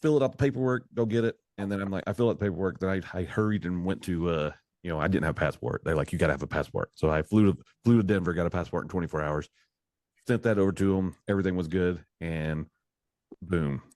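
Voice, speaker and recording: Deep-voiced male podcast host. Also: fast, darkish tone, no room sound. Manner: rambling, restarts, upbeat hype. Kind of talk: casual video-call podcast chat.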